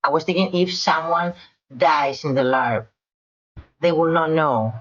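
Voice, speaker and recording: A woman's voice: low voice, unhurried, lo-fi sound. A woman introducing herself as a player, chatty and eager. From Greece. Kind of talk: casual self-introduction.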